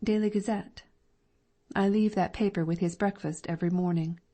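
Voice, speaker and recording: A woman's voice: medium pitch, steady tone, moderate pace, mellow, natural voice. A woman reading the part of a respectful older landlady who plainly and deferentially reports to a detective in an audiobook. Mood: earnest.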